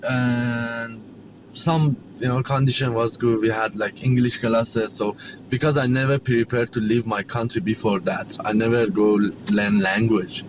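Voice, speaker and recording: A middle-aged man from Iran, a native Persian speaker with a Persian accent, telling his story as an interview guest in halting, repetitive English. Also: mid-range voice, fairly even intonation, muffled recording, background noise. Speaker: guest, chef and cafe owner.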